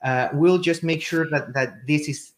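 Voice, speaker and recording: A man's voice: a little high, a little slow. A man with a Chilean accent, a guest in a casual interview, talking with many fillers and repeated words. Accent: Chilean Spanish. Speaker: guest, real estate investor.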